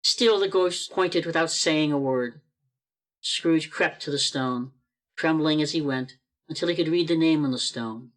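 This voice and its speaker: A man reads the narration of an amateur radio play over a video call, in a steady storytelling manner. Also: on the high side, unhurried, low-quality recording.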